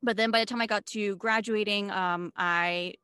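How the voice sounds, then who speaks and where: A woman's voice: medium pitch, fairly even intonation, normal speed, bright, forceful tone, clear, resonant tone, no echo. Swiss-born woman in her 30s, English not her first language, chatty storyteller, dry close sound. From Switzerland. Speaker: guest, video marketing coach. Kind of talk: casual interview chat.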